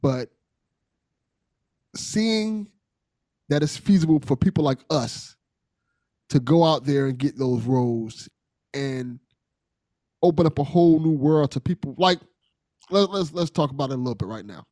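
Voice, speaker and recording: A man's voice: on the high side, animated, a little slow, pauses often, dark tone, dry recording, studio quality. A man hosting a podcast, chatting in an informal, appreciative way with his guest. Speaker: podcast host, voice actor. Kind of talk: casual conversational interview.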